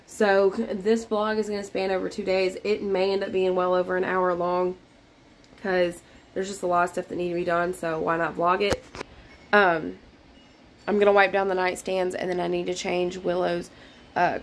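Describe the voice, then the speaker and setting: A woman's voice: medium pitch, a little hiss or hum. A woman in her thirties talks straight into a phone held at arm's length in a small bedroom. She rambles in an easy, chatty way with plenty of fillers. Her voice is worn and fading from a recent illness. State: losing her voice after being sick. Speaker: vlogger. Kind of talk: casual cleaning vlog chat. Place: small bedroom in a mobile home.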